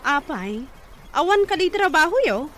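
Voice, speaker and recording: High-pitched nagging young woman, played by an actress. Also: big pitch swings, measured pace, a bit bright, slight background noise, exaggerated voice. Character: nagging younger woman scolding a jobless older man. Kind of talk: Ilocano radio comedy drama acting.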